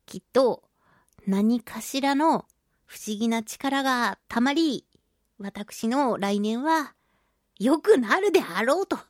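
A woman hosting a solo radio talk show, chatting about daily life in an animated, giggly, exclamatory way. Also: on the high side, takes it slowly, no echo, studio quality. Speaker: voice actress.

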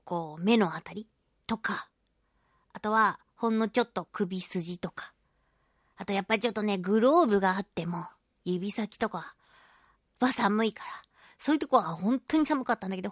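A woman hosting a solo radio talk show, chatting about daily life in an animated, giggly, exclamatory way. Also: mid-range voice, moderate pace, no echo, studio quality. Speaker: voice actress.

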